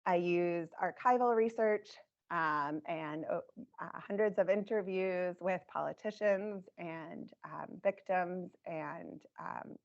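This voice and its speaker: A woman with a lowish, scratchy voice walks listeners through her research in a measured, explanatory way, pausing on frequent ums. The recording sounds dull and lo-fi. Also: gravelly. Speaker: academic speaker presenting research. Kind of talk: academic talk.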